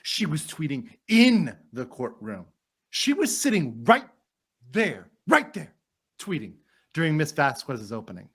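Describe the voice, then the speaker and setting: A man's voice: on the high side, huge pitch swings, measured pace, husky, full character voice. A man doing a dramatic reading as an indignant, courteous trial lawyer, formal and emphatic as he argues to a judge. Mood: insistent.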